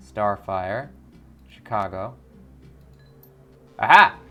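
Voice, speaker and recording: A man's voice: mid-range voice, bright, forceful tone. A man hosting a gaming video, casually commenting and reading game text aloud, often muttering to himself as he thinks.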